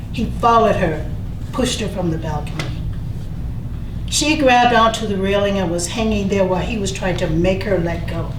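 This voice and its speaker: A woman's voice: medium pitch, lightly acted. A woman reading the part of a chatty, weary hotel housekeeper and mother in a staged play. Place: live staged reading, mic away from actors. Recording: distant mic, echoey noisy room.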